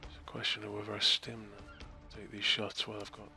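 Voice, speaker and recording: A man's voice: fairly low pitch, monotone, fairly quick, vocal fry, bright, forceful tone. A man thinking aloud and commentating his own strategy game match with wry asides. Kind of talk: casual gameplay commentary. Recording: game music behind the voice. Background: game music.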